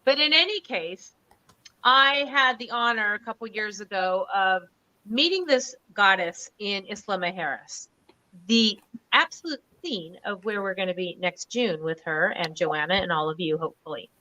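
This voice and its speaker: A woman's voice: medium pitch, lively intonation, moderate pace, pushed and bright, lo-fi sound. A woman hosting a casual online show over a video call, chatty and gushing as she introduces her guest. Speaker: host.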